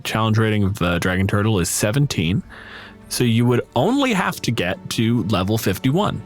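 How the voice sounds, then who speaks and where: A man's voice: lowish voice, big pitch swings, moderate pace, faint music behind. A man talking casually and emphatically about a tabletop game, opinionated and freely profane. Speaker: podcast host.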